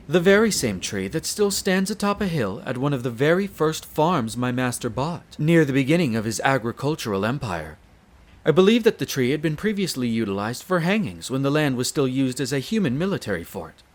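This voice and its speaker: A man's voice: medium pitch, natural voice. Guy acting as a polite proper butler in a vampire castle, very formal and devoted, roleplay audio style. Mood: polite, attentive. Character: courteous, devoted male head servant of a vampire castle. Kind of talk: scripted roleplay audio drama, addressing the listener.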